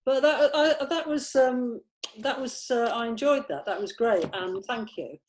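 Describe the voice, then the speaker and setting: A middle-aged woman chatting casually as a producer in a planning meeting, agreeable and a little halting. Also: on the high side, darkish tone, slight background noise.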